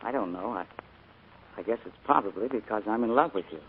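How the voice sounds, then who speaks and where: A man's voice: lowish voice, expressive, slightly rough. A man playing a bashful young man in love in a 1940s radio drama, speaking hesitantly. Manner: hesitant, halting. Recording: narrow 1940s radio broadcast.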